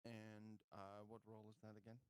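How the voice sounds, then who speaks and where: A man playing a tabletop game, chatting casually and muttering haltingly to himself as he works. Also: fairly low pitch, monotone, clean tone, dry recording, low-quality recording. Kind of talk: casual chat.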